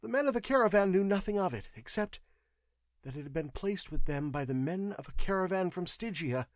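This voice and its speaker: Shrill, terrified, whimpering male clerk. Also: expressive, a little fast, dry recording, studio quality. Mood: cowed, whimpering. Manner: pleading, sobbing, stammering with nerves. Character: whimpering terrified clerk, a weak cowardly man.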